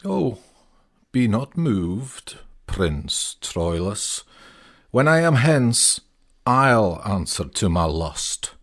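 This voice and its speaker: A man's voice: on the low side, big pitch swings, heavily acted. A man playing a proud, defiant Greek warrior lord, courtly and haughty, in a classical audio drama. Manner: deliberate, haughty.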